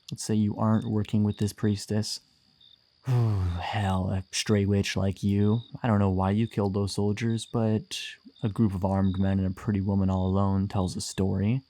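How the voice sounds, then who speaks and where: A man playing a gruff, wry, flirtatious monster hunter and bounty hunter in an immersive fantasy audio roleplay. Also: lowish voice, warm tone, close to an everyday voice. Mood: teasing. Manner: teasing, wry, swearing, sighing.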